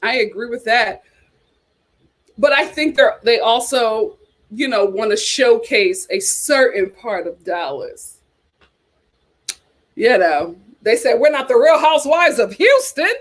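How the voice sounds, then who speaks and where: Young female host, sassy casual commentary, bright high register. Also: slow, pauses often. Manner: sassy, animated, talks straight to viewers.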